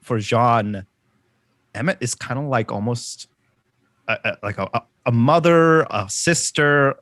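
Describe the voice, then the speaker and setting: Male roleplayer, mid-pitched, dry sound, casual and halting as he improvises. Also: fairly bright tone, no room sound. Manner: halting, searching for words. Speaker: player in a tabletop game. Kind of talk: casual, improvised character description.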